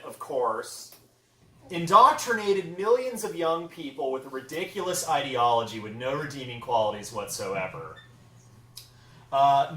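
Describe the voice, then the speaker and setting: A man's voice: fairly high pitch, pushed and bright. Guy doing a mock conspiracy rant at a con panel, swoops around, echoey and a bit far from the mic. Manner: profane, theatrical, mock-conspiratorial. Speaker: comedy panelist at a fan convention. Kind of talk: comedic mock rant presentation to a live audience. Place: indoor convention panel room. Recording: roomy echo, distant convention room microphone.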